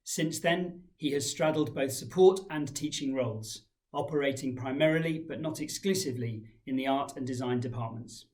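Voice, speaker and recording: A man in his forties, a head teacher giving a formal school assembly address, measured and reading from a folder in a small room. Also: medium pitch, darkish tone. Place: small indoor room with framed pictures.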